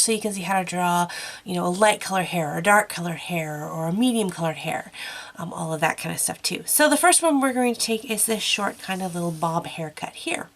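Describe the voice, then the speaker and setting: A woman's voice: medium pitch, a bit bright. A woman hosting an art tutorial, chatty and encouraging with lots of fillers, her nose stuffy from a cold. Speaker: art channel host.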